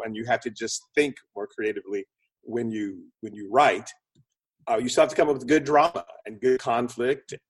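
A middle-aged or older man answers questions as an interview guest. His voice sits at an average pitch. He talks in a casual, chatty way, explaining and rephrasing as he thinks aloud. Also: breathy edge, rough recording. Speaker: podcast guest, film producer and script consultant.

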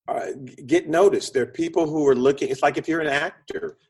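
A man speaking as an expert interview guest, explaining conversationally in an animated way with short punchy phrases and a slightly husky voice. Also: on the high side, brisk. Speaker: interview guest, screenwriting consultant and producer.

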